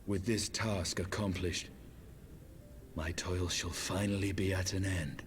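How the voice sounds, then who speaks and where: A proud, weary dragoon in a game cutscene. His voice sits low, grimly resolved, formal and measured, with soft music behind him. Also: fairly even intonation. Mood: grim resolve. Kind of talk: video game cutscene acting.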